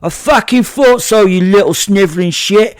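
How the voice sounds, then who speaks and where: A man voicing a big angry macho driver in his late forties, yelling and jeering aggressively in a horror audio drama. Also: high-pitched, normal speed, clean tone, dry recording, studio quality, pitched above the performer's own voice, natural voice. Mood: jeering rage.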